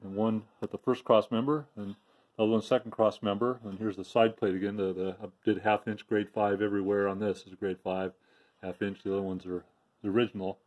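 Low-voiced man explaining a garage project. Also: flat delivery, darkish tone, lo-fi sound. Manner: plain, unhurried, with many restarts and "uh"s. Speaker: DIY video maker. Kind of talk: casual show-and-tell walkthrough. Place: home garage. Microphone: handheld phone camera, speaker off screen.

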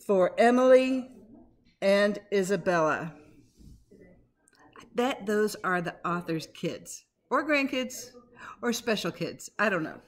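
A middle-aged woman, a teacher, doing an expressive, interactive picture-book read-aloud with chatty asides to the children. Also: mid-range voice. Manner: expressive, dramatic when reading, conversational asides to the children. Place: small living room at home, indoors. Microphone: webcam or laptop at arm's length.

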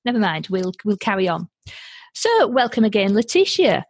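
A woman's voice: medium pitch, big pitch swings, normal speed, husky. A woman with a Yorkshire accent hosting a light-hearted podcast interview in a chatty, exclamatory way. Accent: Yorkshire English. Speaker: podcast host.

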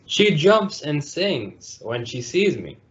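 A man's voice: on the high side, sing-song, slow. Man reading a picture book, muffled sound. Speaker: storytime reader and host. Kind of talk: children's picture book read-aloud. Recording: dull lo-fi video call audio.

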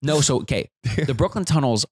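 A man in his thirties, a comedian guest, telling animated jokey stories on a podcast couch into a close boom mic. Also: a little fast, slightly rough, dry recording. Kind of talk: casual storytelling chat. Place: living room studio with couch.

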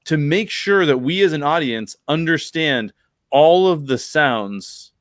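A man's voice: a little high, expressive, slow pace, no echo, clean studio sound. An American man in his forties hosting a casual podcast discussion, talking emphatically and repeating words for emphasis. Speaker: podcast host. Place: bedroom at home, on a video call. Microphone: close desk microphone with headset.

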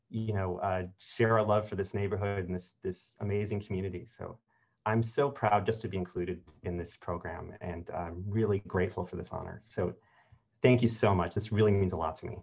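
A man's voice: low voice, steady tone, a little fast, gravelly, darkish tone. Man in his thirties, dull call audio. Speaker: award winner. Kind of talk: informal thank-you speech. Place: indoor office. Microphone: computer mic on video call.

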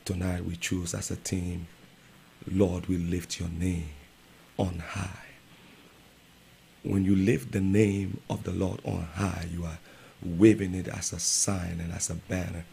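Brief: deep-voiced host from Liberia, gravelly, preachy exhortation. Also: male voice, little pitch movement, slow pace, mellow, slight background noise. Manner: preachy, building emphasis. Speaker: radio show host, pastor. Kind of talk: exhortation to viewers. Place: small indoor radio studio. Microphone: boom studio mic.

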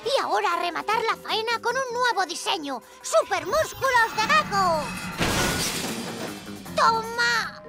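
Woman doing an eager, squeaky-high little boy hero. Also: expressive, unhurried, creaky, full character voice. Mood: eager, excited. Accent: Castilian Spanish from Spain. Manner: eager, exclamatory. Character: eager, good-natured little boy superhero. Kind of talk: animated cartoon dub. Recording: loud music under the voice.

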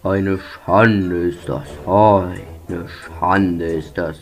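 Man voicing a slow, pompous steam engine. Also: deep voice, fairly even intonation, faint music behind, full character voice. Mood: indignant. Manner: pompous, complaining. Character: proud, grumbling, self-important male steam locomotive. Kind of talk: cartoon fan dub acting.

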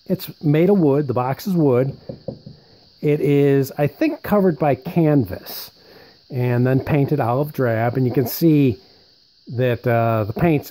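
Guy doing a casual hands-on walkthrough, mellow relaxed voice, kinda rambly, thinking out loud. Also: medium pitch. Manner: rambling, conversational, thinking aloud. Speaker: presenter showing vintage military radio equipment. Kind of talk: casual unscripted show-and-tell narration.

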